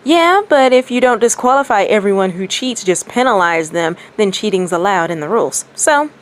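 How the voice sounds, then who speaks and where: A woman's voice: medium pitch, expressive, natural voice. A woman voicing a cheeky, smug young ninja boy in a storybook reading, blunt and matter-of-fact. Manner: matter-of-fact, deliberately obvious. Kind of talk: storybook reading of fan fiction. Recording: clearly audible background noise.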